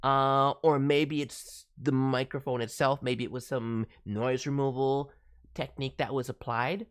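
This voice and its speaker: A woman's voice: very deep voice, steady tone, unhurried. A woman working as a voice director, giving a casual spoken critique with advice in an emphatic manner. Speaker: voice director reviewing demo reels.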